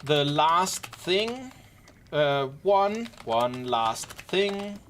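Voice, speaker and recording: A man live-streaming, gently and casually explaining game mechanics to his squad with wry asides. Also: on the high side, lively intonation, very slow, a little hiss or hum. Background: faint keyboard typing and clicks.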